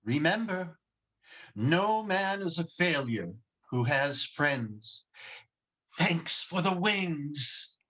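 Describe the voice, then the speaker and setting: A man playing a gentle, grateful guardian angel in a 1940s radio play, on a slightly lo-fi recording. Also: fairly high pitch, lively intonation, very slow, full character voice. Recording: slightly dull, lo-fi sound.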